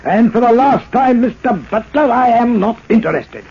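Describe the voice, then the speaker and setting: Stubborn principled old inventor, 1940s radio play, mellow husky voice that swings around a lot. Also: male voice, extremely high pitch, animated, moderate pace, exaggerated voice. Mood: firm, indignant. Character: proud, principled older male inventor, unyielding. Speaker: radio drama actor. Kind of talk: 1940s radio drama acting. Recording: dull old radio broadcast.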